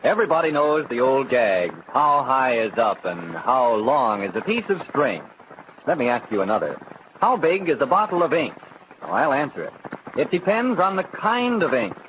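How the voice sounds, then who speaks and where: A man's voice: medium pitch, big pitch swings, moderate pace. A man reading a vintage radio commercial as a folksy, salesman-like announcer. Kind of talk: 1940s radio ad read. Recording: thin, phone-like old radio, noisy.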